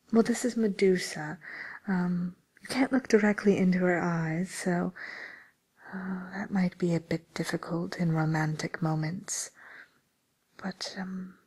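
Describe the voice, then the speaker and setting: A woman playing a polite, attentive matchmaker for villains in a whispered ASMR roleplay, with gentle, hesitant, breathy delivery. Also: fairly low pitch, poor audio. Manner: gentle, hesitant, courteous customer-service delivery. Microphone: close microphone.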